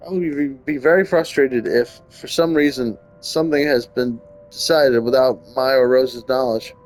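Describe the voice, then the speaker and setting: A man's voice: mid-range voice, normal speed, low-quality recording. A man hosting a gaming video, reading game text aloud and adding casual comments. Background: game music.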